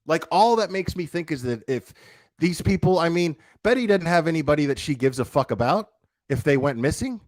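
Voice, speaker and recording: A man's voice: on the high side, animated, fairly quick, no room sound. Blunt, profane man ranting, dry close sound. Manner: emphatic repetition and rhetorical questions. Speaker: online commentator, livestreamer. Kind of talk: livestreamed opinion rant.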